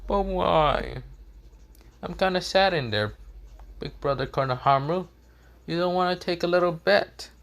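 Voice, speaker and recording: A man's voice: high voice, a little slow, creaky, long pauses, fairly bright tone, voice pushed up, heavily acted. A man voicing a cheeky young boy ninja in a fan story, teasing and pleading.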